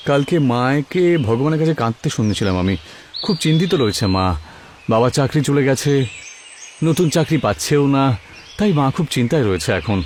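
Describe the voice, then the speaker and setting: A man's voice: medium pitch, lively intonation, brisk, a little mellow, slight background noise, natural voice. A man voicing a determined, hopeful young village boy in a cartoon, earnest and reassuring. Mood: troubled, resolute. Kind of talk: cartoon character acting. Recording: music behind the voice.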